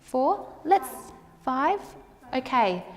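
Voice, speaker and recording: A woman in her thirties teaching a language class, speaking slowly and repeating words so learners can model the sounds. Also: sits high, very animated, long reverb tail. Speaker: ESL teacher. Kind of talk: classroom teaching, slow and clear. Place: large classroom with brick wall, indoors.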